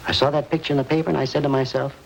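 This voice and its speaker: A man's voice: medium pitch, level tone, rapid-fire, gravelly, natural voice, low-quality recording. An actor playing an eccentric older ex-circus man who rambles insistently, in a 1950s radio drama. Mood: rambling. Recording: old radio broadcast with hiss.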